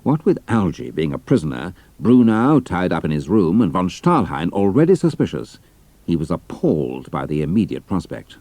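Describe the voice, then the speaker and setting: A British man narrates an adventure story in a very deep voice. His pitch moves widely and expressively over a dark, mellow tone, recorded very dry. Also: very animated, normal speed, no room sound.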